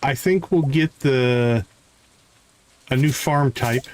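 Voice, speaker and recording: A man's voice: mid-range voice, slow, darkish tone, slight background noise. A man casually commentating his live gameplay, talking to himself in short remarks and repeating words as he thinks. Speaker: game streamer. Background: music.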